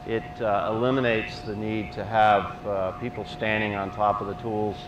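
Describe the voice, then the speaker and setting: A man's voice: on the low side, level tone, normal speed, recorded from a distance, muffled recording. A man leading a factory tour, explaining the work in a matter-of-fact way and confirming questions, speaking into a handheld mic. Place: large factory floor indoors. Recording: echoey factory hall with loud machine noise, from off mic.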